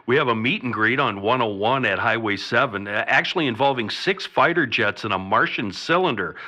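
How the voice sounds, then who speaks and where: An actor playing an unflappable radio traffic reporter, breezy and deadpan in the middle of an alien invasion. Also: male voice, mid-range voice, fairly quick. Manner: deadpan, folksy.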